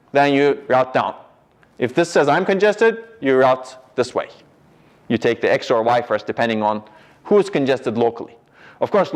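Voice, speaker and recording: A middle-aged man giving a university lecture in a large hall, explaining step by step and often checking in with "right?". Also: medium pitch. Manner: explanatory, pointing at a slide, frequent "right?" and restarts.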